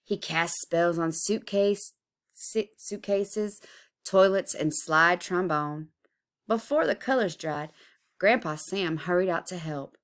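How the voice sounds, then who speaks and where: A woman reading a children's picture book aloud expressively, with deliberate, dramatic emphasis. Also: on the low side. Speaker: storybook reader.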